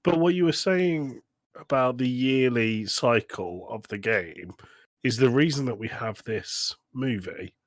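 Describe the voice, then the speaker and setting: Male podcast co-host, medium pitch, close dry sound, opinionated casual talk. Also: a little slow, no room sound.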